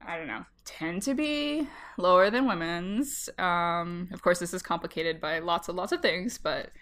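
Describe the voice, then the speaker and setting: A woman co-hosting a podcast while she has a cold, talking casually with vocal fry and laughing often. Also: lowish voice, a little slow, fairly bright tone. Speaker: podcast co-host. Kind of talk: casual chat.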